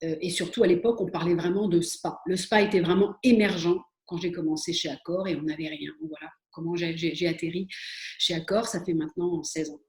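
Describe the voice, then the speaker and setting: A woman's voice: fairly low pitch, fairly even intonation, a little fast. A middle-aged woman answering interview questions about her career, speaking with frequent hesitations, fillers and restarts. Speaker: interview guest, spa and wellness expert. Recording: echoey room.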